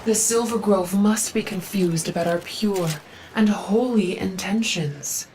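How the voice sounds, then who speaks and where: An actress playing a devout, earnest female zealot guarding a sacred forest in a video game, reverent, solemn and measured. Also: medium pitch, a little mellow, slight background noise, close to an everyday voice, poor audio.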